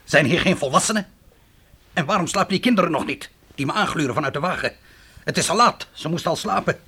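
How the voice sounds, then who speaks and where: An actor playing a gruff, commanding frontier trapper in a Dutch radio play, speaking brusquely and urgently. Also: male voice, fairly high pitch, lively intonation, fast, sharp tone, exaggerated voice. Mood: gruff, questioning. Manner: brusque, urgent, giving orders.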